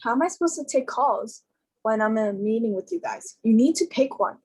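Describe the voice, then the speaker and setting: A woman's voice: a little high, expressive, a little fast, a little mellow, slightly rough. A woman playing a flustered, defiant young receptionist in a comedy web series, informal and exasperated.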